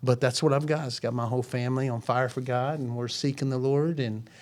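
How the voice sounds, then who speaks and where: A man's voice: medium pitch, fairly even intonation, brisk, darkish tone. A man in his forties, a ministry founder, telling his story as a podcast guest in an earnest, rambling way with many restarts. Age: 40s. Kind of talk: casual chat.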